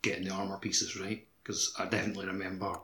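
A man's voice: deep voice, flat delivery, quick pace, pushed and bright. A man co-hosting a podcast, chatting casually and a little tentatively. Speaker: podcast co-host. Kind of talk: casual chat.

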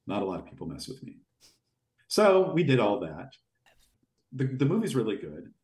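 A man with a deep voice telling long, rambling anecdotes as a podcast guest. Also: moderate pace. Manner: rambling, animated anecdotes with fillers. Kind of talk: casual storytelling in an interview. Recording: echoey room.

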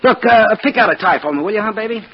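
A high-pitched, lively man as a self-absorbed writer husband. He chatters eagerly in old radio drama style, on a muffled recording. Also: quick pace, slightly rough. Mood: boyish, distracted.

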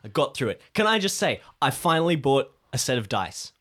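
A man with a very animated, swooping delivery talks casually as a podcast regular. He sounds excitable and chatty, like a player among friends at a role-playing game. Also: mid-range voice, brisk. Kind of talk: casual group banter about a role-playing character.

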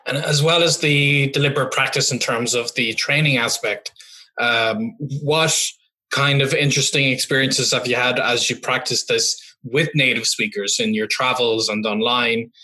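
A man's voice: medium pitch, fairly even intonation, moderate pace, sharp tone, low-quality recording. A man interviewing someone in a conversational style, posing open questions as the interviewer.

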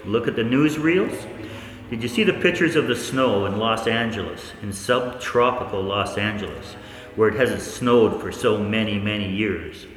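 Male actor as shaken researcher, 1940s radio drama. Low voice, brooding and questioning, in a reverberant space. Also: moderate pace, faint music behind, close to an everyday voice. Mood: urgent, wondering. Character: shaken male researcher recounting an eerie disaster. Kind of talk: 1940s radio play monologue. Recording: echoey hall with hiss.

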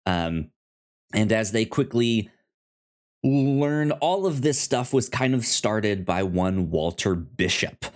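A man with a fairly low voice talks casually as a podcast co-host. He goes very slowly and often stutters, repeating sounds and small words before moving on. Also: lively intonation. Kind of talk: casual chat and show recap.

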